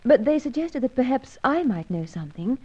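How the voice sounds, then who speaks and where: A mellow female voice plays a young English woman in an old radio drama. She is polite, coy and apologetic, hesitating often. The recording sounds dull and muffled. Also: high-pitched, big pitch swings, fairly quick, slightly rough, heavily acted. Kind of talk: old-time radio adventure serial acting.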